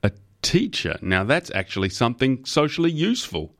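A man's voice: fairly low pitch, lively intonation, normal speed, darkish tone. A man voicing a powerful, jovial company boss in an audiobook, blunt, emphatic and forthright. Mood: amused, interested.

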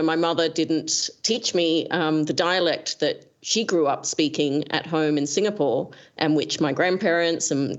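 A woman's voice: sits low, lo-fi sound. An Australian woman in her forties, a guest author answering questions thoughtfully, with frequent hesitations and fillers. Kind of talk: reflective conversation.

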